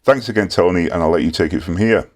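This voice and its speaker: A man's voice: low voice, fast talker. A man hosting an online webinar, casually introducing the guest speaker with easy filler-heavy talk over a computer mic. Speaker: webinar host.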